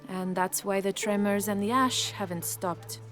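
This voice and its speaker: A woman's voice: mid-range voice, measured pace, lightly acted. Earnest, polite woman acted in a game cutscene. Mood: serious. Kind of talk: video game cutscene acting. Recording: music behind game dialogue.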